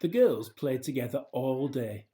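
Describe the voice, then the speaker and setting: A man narrating a children's story as a storyteller, with measured, expressive phrasing and deliberate pauses. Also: mid-range voice.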